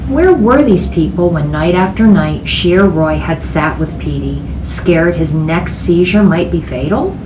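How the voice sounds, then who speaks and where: Female storybook reader, low register, expressive narration, noisy echoing room recording. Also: measured pace, hardly any pauses. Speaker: reader reading a novel aloud. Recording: echoey room, clear background noise.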